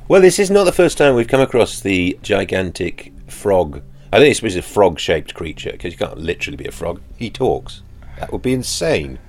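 A man with a deep voice gives an interview as a voice actor. His delivery is wry and joking, with very lively swings of intonation. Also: brisk. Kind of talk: behind-the-scenes interview.

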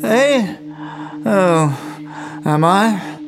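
An actor with an English accent playing a crotchety, brilliant old scientist in an audio drama, pompous and muttering, with old-fashioned phrasing. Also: male voice, high voice, expressive, very slow, noisy background, full character voice. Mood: grudging. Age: older.

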